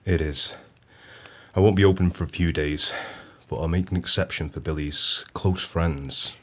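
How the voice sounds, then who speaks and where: A man acting in an audio play as a sly, vengeful pub landlord, measured and pointedly polite with cold menace. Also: sits low. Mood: falsely genial.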